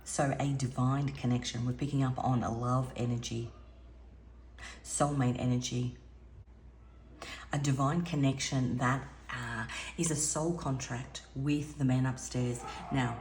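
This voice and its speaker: A low-pitched woman with a raspy voice talks through a spiritual tarot reading in an earnest, wandering way. She restarts her sentences often. The recording is roomy and echoey, with a faint hiss underneath. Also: steady tone, measured pace, darkish tone. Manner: meandering, earnest, with frequent restarts. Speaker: intuitive tarot reader. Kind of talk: spiritual card reading monologue. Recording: echoey room with faint background noise.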